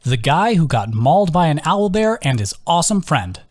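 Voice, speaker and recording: A man's voice: on the high side, very animated, normal speed, a little mellow, no echo, studio quality, pitched above the performer's own voice. A man voicing a thoughtful, frank young woman adventurer with a wry, questioning manner in an audiobook. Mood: wry, teasing.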